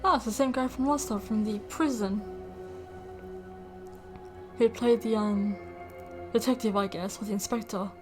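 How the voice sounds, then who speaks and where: A woman's voice: on the high side, steady tone, normal speed, pauses often, over music. Rambling woman chatting casually to camera. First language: English. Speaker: reaction video host. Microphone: USB desktop microphone.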